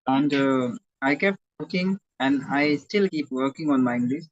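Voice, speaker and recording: Man, primary school teacher, halting speech, self-correcting, dull recording, dry sound. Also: medium pitch, no room sound. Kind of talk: interview conversation.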